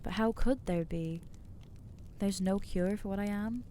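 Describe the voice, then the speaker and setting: A woman's voice: medium pitch, unhurried, dark tone, a little hiss or hum, lightly acted. A woman voicing an anxious, self-loathing young female vampire in an ASMR audio roleplay, talking hesitantly and putting herself down. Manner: hesitant, self-deprecating, trailing off.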